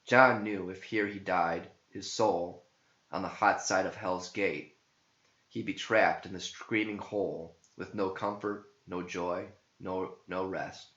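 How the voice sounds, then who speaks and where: A man reading scripted verse as a narrator, in a solemn, deliberate and theatrical manner. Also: deep voice, steady tone, fairly bright tone. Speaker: author and narrator. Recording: muffled, echoey home recording.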